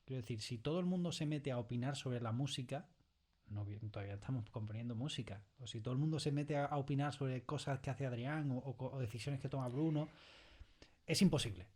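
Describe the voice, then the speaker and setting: A man's voice: mid-range voice, a little fast, darkish tone. A man with an accent from Spain speaking casually and emphatically in an interview, repeating himself and acting out bits of dialogue. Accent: Spanish from Spain. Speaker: audio series creator being interviewed. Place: recording studio.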